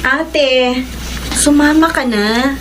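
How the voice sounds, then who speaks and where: High, slow-speaking earnest young Filipina. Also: female voice, background noise. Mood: gently insistent. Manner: heartfelt, deliberate delivery. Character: grateful young woman graduate, tender and earnest. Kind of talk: Tagalog radio drama acting. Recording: music under the voice.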